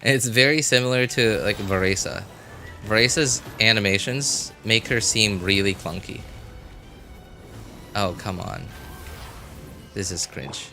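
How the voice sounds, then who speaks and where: A man's voice: fairly low pitch, unhurried, gravelly, long pauses, bright, forceful tone. A man doing casual live gameplay commentary, thinking aloud in short informal remarks and chatting with his viewers. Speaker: game livestreamer. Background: game music.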